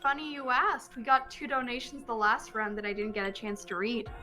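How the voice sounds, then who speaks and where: Higher-voiced woman reading donations over music. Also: flat delivery, fairly quick, sharp tone. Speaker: donation reader at charity speedrun event. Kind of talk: casual chat, reading out donation messages.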